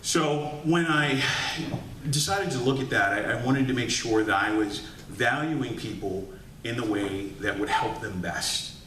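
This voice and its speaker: A man's voice: medium pitch, measured pace, fairly bright tone. A man leads a motivational workshop in a meeting room, upbeat and talking straight to the crowd. He is picked up from a distance, so his voice carries a roomy echo and a faint hiss. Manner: upbeat, calls to the audience with "right?". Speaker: executive coach giving a workshop talk. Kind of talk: lively motivational workshop lecture. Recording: echoey room recorded from afar with faint hiss.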